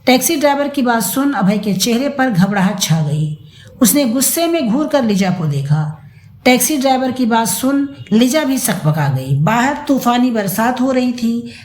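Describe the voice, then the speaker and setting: A woman narrating a scripted audio story in a dramatic, deliberate storytelling manner. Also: on the high side, a little mellow.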